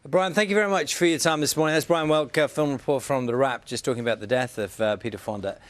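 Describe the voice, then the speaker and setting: A man's voice: mid-range voice, quick pace, no room sound. A man presenting live news and putting questions to guests. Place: dry broadcast studio.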